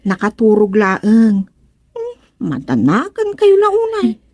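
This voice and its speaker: A woman with an Ilocano accent playing a concerned young niece in a radio drama, gently pleading and reassuring. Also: medium pitch, huge pitch swings, slow pace, no echo, full character voice. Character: young niece Pina, concerned and soothing her aunt.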